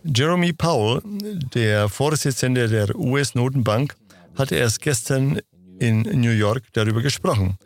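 A man answering interview questions in a steady, lecturing manner. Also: medium pitch, a little slow. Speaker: interview guest.

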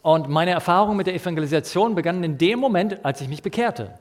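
A man interprets a sermon on the fly, picking up each phrase right after the preacher. His voice sits a little high, and he restarts words now and then as he keeps pace. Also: lively intonation. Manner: quick, with small restarts and fillers.

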